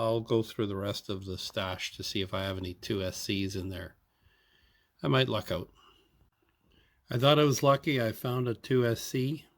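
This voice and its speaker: A man with a fairly low voice talks through hands-on repair work. He explains each step in an unscripted way, thinking aloud in short, halting phrases. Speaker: hobbyist radio repairer.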